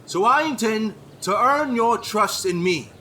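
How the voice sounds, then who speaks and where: A young, courtly lord in a fantasy audio drama. His voice is bright and swings widely in pitch as he speaks with formal, deliberate self-assurance. Faint ambience sits behind him. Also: lively intonation, a little hiss or hum, heavily acted. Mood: oratorical, assured. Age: young adult.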